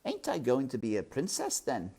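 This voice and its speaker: A man voicing a simple young servant girl in a storybook, plaintive and loud, with uneducated speech. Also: mid-range voice, wildly swooping pitch, normal speed, creaky, slightly rough, voice pushed up, exaggerated voice. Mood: hopeful, pleading. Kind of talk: storybook character voice.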